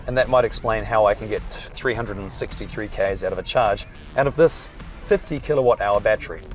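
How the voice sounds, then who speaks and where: A hoarse man, chatting and joking as he reviews a car while driving it. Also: fairly low pitch, a little fast, faint music behind. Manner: chatty, dry jokes. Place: inside a moving car.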